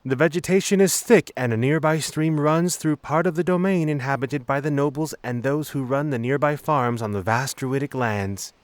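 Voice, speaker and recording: A male narrator reads a scripted fantasy story. His voice sits at a middle pitch. The recording is very dry, with hardly any room sound. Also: moderate pace, few pauses, no echo.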